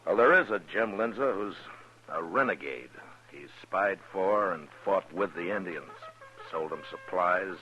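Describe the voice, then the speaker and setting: An actor playing a steady, blunt frontier marshal in a 1950s radio western. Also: male voice, low voice, a little slow, soft background music, heavily acted. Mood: firm warning. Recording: dull vintage radio recording.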